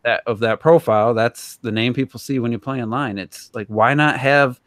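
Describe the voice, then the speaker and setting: A man's voice: medium pitch, normal speed, a bit bright, dry recording, clean studio sound. A man chatting casually and informally as a podcast co-host, in a rambling way. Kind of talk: casual gaming podcast discussion.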